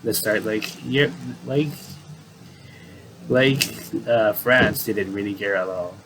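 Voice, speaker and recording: A man doing casual game commentary and reading story text aloud, haltingly and with repeated words. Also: lowish voice. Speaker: gaming video commentator. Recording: clearly noisy home recording.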